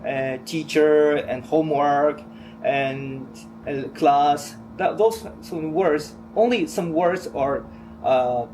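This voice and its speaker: A middle-aged man, a teacher at a Korean school in Japan who grew up speaking Japanese, answers interview questions in careful, halting English full of pauses. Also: a little high, a little mellow, soft background music, poor audio. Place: school office.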